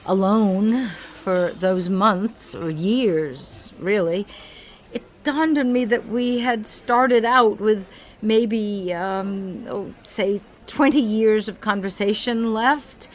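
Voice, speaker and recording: A woman's voice: mid-range voice, slow, natural voice. An actress playing an earnest, self-assured mother in her sixties, in a naturalistic audio comedy. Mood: cool, wistful. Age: 60s. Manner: effusively sincere, self-assured. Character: earnest, vibrant 67-year-old mother leaving her husband.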